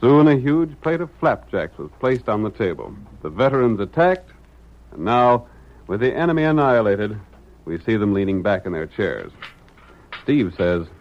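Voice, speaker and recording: A man's voice: on the low side, lively intonation, moderate pace. A man with an American accent narrating a vintage radio western in a dramatic, declamatory announcer style. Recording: muffled vintage radio recording.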